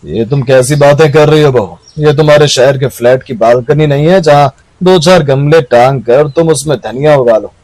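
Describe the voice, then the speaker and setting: A man's voice: mid-range voice, moderate pace, a little mellow, no room sound, lightly acted, poor audio. A man playing a homely, blunt village farmer in a cartoon, speaking in an emphatic, declaiming way. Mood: disapproving. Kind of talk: Hindi moral-story cartoon acting.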